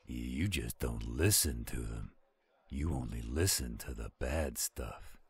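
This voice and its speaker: A rumbling bass, thick with gravel performed by a man, plays the loyal male friend in a roleplay drama. He is pushy and teasing, and takes his time with every line. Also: slow, exaggerated voice. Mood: teasing, insistent. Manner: steady, teasing, insistent.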